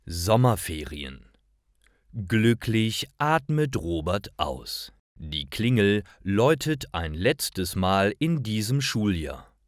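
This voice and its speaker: A man reading scripted stories for language learners in a careful, deliberate manner. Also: on the low side, sing-song, dry recording, clean studio sound. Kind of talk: scripted storytelling narration for learners.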